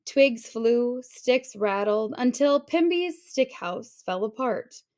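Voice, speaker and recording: A woman narrating a children's storybook aloud in an expressive, deliberate storytelling style. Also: fairly high pitch.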